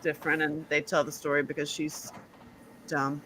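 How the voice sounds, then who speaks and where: A woman hosting a live chat show, playful and loud, laughing often and teasing her guests. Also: low-pitched, little pitch movement, normal speed, a little hiss or hum, rough recording. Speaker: host. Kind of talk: casual chat.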